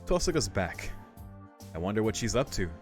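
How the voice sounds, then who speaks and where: A man's voice: on the low side, sing-song, moderate pace, faint music behind. A man casually reading and reacting to a visual novel as a let's play streamer, emotive and chatty. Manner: emotive, reactive, laughing asides. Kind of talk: casual game commentary and reading aloud.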